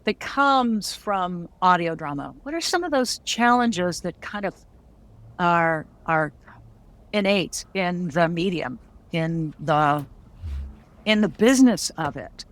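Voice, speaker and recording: A woman's voice: fairly low pitch, lively intonation, slow pace, a bit bright. A woman hosting a casual interview, chatting with wry, self-deprecating humor as a fellow creative. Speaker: interview host; audio drama writer and creator.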